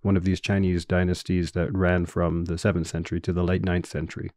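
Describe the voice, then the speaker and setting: Deep-voiced Canadian man explaining measuredly. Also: monotone, a little mellow, no room sound. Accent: Canadian English. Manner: measured, explanatory, with frequent fillers. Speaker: guest scholar. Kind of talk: academic interview answers.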